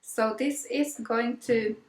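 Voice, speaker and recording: Woman, Finnish accent, unhurried speech, hollow echoey room, soft-spoken, lots of ums and repeated words. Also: on the high side, fairly even intonation. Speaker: knitting podcast host. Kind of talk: casual show-and-tell vlog.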